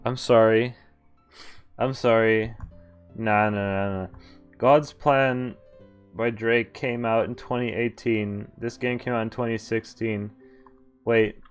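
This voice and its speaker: Young man, game streamer, mid-pitched voice, casual read-aloud and commentary, soft background music. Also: smooth. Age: young adult. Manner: casual, breaks off to joke and comment. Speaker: streamer reading a visual novel aloud. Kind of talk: live game playthrough, reading text with casual commentary.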